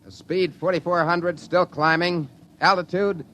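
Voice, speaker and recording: A man's voice: high voice, fairly even intonation, measured pace, fairly bright tone. An American man playing a cocky, daring test pilot in a 1950s radio drama, giving breezy, clipped reports. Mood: businesslike, confident. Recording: old 1950s radio broadcast recording.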